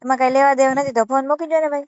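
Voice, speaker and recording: Woman, Saurashtra Gujarati accent, high voice, very fast, scolding and assertive, muffled phone recording. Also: fairly even intonation, darkish tone. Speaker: channel creator taking a call. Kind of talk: argumentative phone conversation. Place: phone call.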